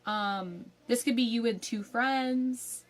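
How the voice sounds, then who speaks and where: A young woman giving a casual tarot forecast, a little raspy from a cold, chatty with frequent ums and likes. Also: fairly high pitch, slow pace. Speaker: tarot reader.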